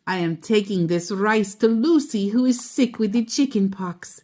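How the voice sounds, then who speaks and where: A woman's voice: medium pitch, unhurried, warm tone, natural voice. A woman voicing a polite, friendly grandmother in a children's storybook, speaking courteously. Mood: polite, eager. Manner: courteous, storybook character delivery. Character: polite, friendly grandmother asking neighbours for trades.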